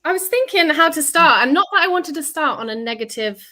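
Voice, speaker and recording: A woman's voice: high voice, expressive, a little fast, bright, forceful tone. A woman hosting a livestreamed panel discussion, upbeat and chatty with frequent ums. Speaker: host and chief editor.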